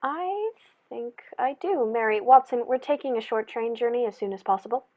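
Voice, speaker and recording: A woman playing a composed, shrewd male detective in a radio play, with a measured, formal, probing way of speaking. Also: on the high side, phone-quality sound, close to an everyday voice. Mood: shrewd, assured.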